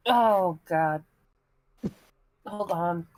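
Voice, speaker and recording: A woman's voice: lowish voice, very slow, darkish tone, rough recording. A woman chatting casually as a podcast panelist. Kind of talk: casual chat.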